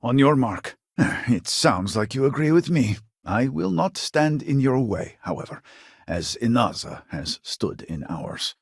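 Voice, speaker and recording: A man's voice: mid-range voice, sing-song, a little slow, gravelly, husky, dry recording, clean studio sound, full character voice. An actor playing an elderly, proud and impatient male scientist in a video game, speaking in a formal, theatrical way. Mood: weary, grave. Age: older.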